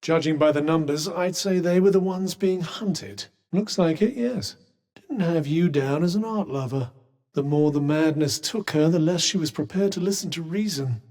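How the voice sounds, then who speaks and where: A man's voice: a little high, normal speed, darkish tone, natural voice. A man with a British accent voicing a haughty, erudite magical cuff in a fantasy video game, with polished diction and dry sarcasm. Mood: wry, composed. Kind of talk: scripted game companion dialogue.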